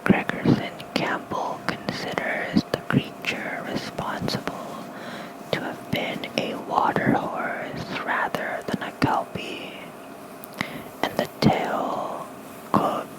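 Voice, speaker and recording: A hushed, breathy whisper reads bedtime lore in an ASMR style. The delivery is slow and gentle, spoken softly and close to a fluffy microphone. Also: poor audio. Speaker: ASMR creator reading aloud. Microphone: close microphone with fluffy windscreen.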